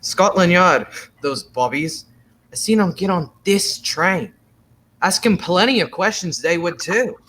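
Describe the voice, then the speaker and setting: Male actor, gossipy, cynical working man, higher bright voice, period radio drama. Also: lively intonation, a little slow, a little hiss or hum, low-quality recording. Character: cynical, gossipy working-class London male train passenger. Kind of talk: 1930s radio play acting.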